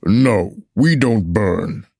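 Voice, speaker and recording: Puppet-show bureaucrat, acted by a man: low male voice, stolid and unhurried, close dry recording. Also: animated, creaky, mellow, dry recording, exaggerated voice. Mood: matter-of-fact. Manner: matter-of-fact, bureaucratic. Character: stolid, dismissive male official collecting banned books. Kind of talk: theatrical puppet show dialogue.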